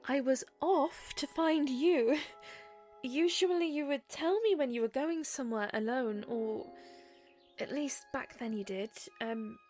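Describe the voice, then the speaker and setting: Young princess, high voice, slow and halting, gentle, nervous, audio drama, faint music behind. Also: female voice. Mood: hesitant. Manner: soft, hesitant, halting with fillers. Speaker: voice actor. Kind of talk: fan audio drama acting.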